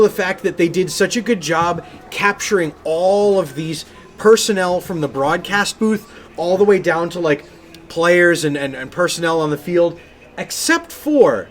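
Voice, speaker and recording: A man co-hosting a gaming podcast, talking casually and with animated enthusiasm about games. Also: high voice, a little slow. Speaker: podcast co-host.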